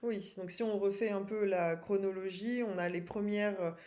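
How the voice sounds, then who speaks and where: A woman hosts a conversational interview. She speaks at a middling pitch and keeps her interruptions brief and attentive, steering the chronology. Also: steady tone, moderate pace, warm tone, reverberant. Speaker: podcast host.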